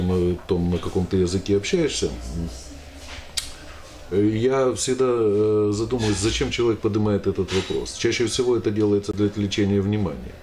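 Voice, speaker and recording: Man in his fifties, mellow low voice, measured and hesitant, interview host, table mic in a small cafe. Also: slight background noise. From Ukraine. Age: 50s. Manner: measured, hesitant, pausing often. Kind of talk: sit-down interview. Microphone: table stand microphone.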